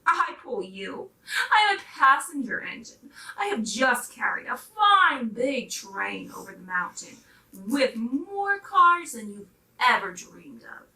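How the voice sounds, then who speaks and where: A woman's voice: on the high side, sing-song, slow, bright, forceful tone, exaggerated voice. A woman voicing a snobbish male passenger train engine in a children's story, boastful and scornful. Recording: roomy, distant microphone.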